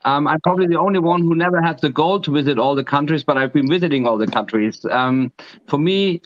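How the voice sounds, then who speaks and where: A middle-aged man from Germany, speaking as a panel guest in an earnest, measured way. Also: a little high, lo-fi sound.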